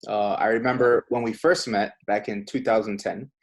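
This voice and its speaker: A man's voice: on the low side, fairly even intonation, moderate pace. Casual male host, friendly and informal. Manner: friendly and informal, calls guest bro and man. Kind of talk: casual interview chat.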